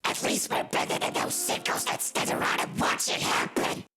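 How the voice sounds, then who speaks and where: A woman's voice: moderate pace, bright, forceful tone, lightly acted. A woman voicing a soulless, bored talking flower in a dramatic game fan dub, confiding and theatrical, swinging between wistful and menacing. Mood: menacing whisper. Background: game soundtrack music.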